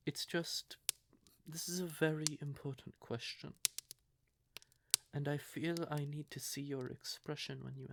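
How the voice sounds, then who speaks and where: A man playing a courtly, possessive vampire lover in an audio roleplay, speaking theatrically and deliberately with syrupy endearments and breathy, quietly menacing undertones. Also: a little high, noisy background. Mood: soothing, coaxing. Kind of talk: scripted audio roleplay addressed to the listener.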